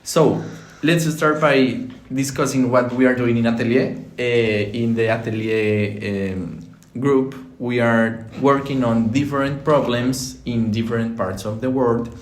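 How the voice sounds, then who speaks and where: A man's voice: mid-range voice, measured pace, hardly any pauses. Young Colombian man hosting, echoey room. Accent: Colombian Spanish. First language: Spanish. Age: young adult. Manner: relaxed, searching for words, hesitant with fillers. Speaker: podcast host, architecture student. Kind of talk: casual student podcast chat.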